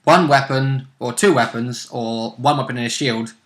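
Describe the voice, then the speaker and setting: A man's voice: medium pitch, steady tone, moderate pace. A man chatting casually as co-host, chiming in with clarifications about tabletop game rules. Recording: roomy, echoing room sound.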